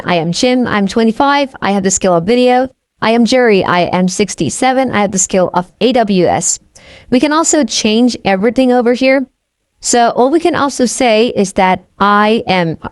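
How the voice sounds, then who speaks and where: A woman's voice: mid-range voice, no echo, studio quality. Woman talking through code step by step. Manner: steady step-by-step narration with frequent "okay?" check-ins. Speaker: coding tutorial instructor. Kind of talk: screen-recorded coding walkthrough.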